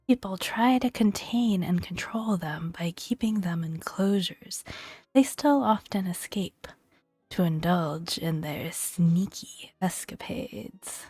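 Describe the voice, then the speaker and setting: A woman speaking softly and breathily in a gentle, playful ASMR style, often dropping into a whisper as she reads and comments. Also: fairly low pitch, breathy edge, no room sound. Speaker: gaming video host. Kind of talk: soft-spoken ASMR, reading creature entries with casual commentary.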